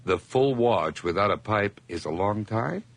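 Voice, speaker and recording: A man's voice: lowish voice, lively intonation, unhurried, vocal fry, dry recording, heavily acted. An actor playing a nervous, overly eager hired man who stammers through forced cheer in a radio drama. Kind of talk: 1980s radio drama acting.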